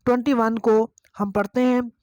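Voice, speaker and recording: A woman's voice: on the high side, little pitch movement, moderate pace, a little mellow, no room sound. A woman with a Pakistani accent lecturing on law, reading out phrases and explaining them didactically, switching between two languages and repeating herself. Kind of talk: lecture reading statute in English and explaining it line by line.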